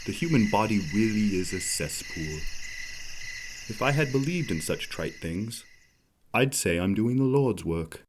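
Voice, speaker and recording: A man acting a low-voiced, smug murderous innkeeper. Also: expressive, unhurried. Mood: scornful, brooding. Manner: theatrical, articulate, wry, formal wording. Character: amoral murderous innkeeper, smug, coolly self-justifying man. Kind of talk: dramatic first-person monologue narration in an audio drama.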